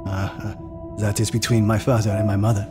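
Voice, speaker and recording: An actor playing a reserved grown son in an audio drama, recalling his parents in a measured, guarded way. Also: fairly low pitch, flat delivery, over loud music, natural voice.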